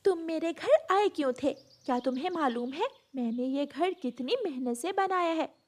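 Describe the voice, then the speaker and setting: A woman voicing a gentle, anxious mother sparrow in a Hindi storytelling cartoon. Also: high voice, expressive, normal speed. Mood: indignant.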